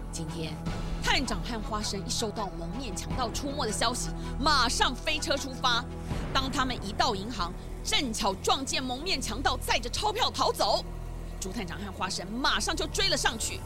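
A woman narrating a scripted children's detective story as a storyteller. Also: high voice. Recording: loud music behind the voice.

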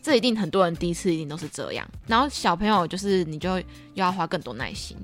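Animated young Taiwanese woman chatting. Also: fairly low pitch, quick pace, faint music behind. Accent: Taiwanese Mandarin. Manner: animated, acts out children's remarks. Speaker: podcast co-host and camp counselor. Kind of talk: casual chat, recounting stories.

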